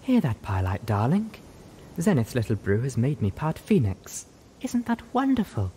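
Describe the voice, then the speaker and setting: A man's voice: medium pitch, huge pitch swings, normal speed, warm tone, voice pushed up. A man voicing a teasing, sultry female unicorn in a story, with a cooing, theatrical manner. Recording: roomy echo, audible noise.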